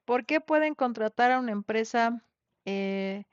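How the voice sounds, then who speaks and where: A woman's voice: fairly high pitch, a little slow, smooth, no room sound. A woman with a Mexican accent teaching an online class in an informal, conversational way, often checking in with tag questions. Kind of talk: informal lecture with questions to students. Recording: dull lo-fi online class audio.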